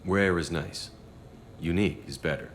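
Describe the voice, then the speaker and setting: A man as a very deep-voiced, steady commander. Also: normal speed, long reverb tail, slight background noise, lightly acted. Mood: steady, supportive. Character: male military commander, steady, wry, supportive human soldier. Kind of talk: video game dialogue acting.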